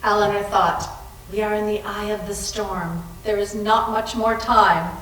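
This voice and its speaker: A woman's voice: mid-range voice, level tone, measured pace, fairly bright tone, lightly acted. A woman playing an anxious, haunted, guilt-ridden woman in a dramatic readers theater reading of a ghost story. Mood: uneasy. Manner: dramatic, reads own dialogue tags. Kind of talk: readers theater narration and dialogue. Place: library room, live staged reading. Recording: echoey room, far from mic, faint noise.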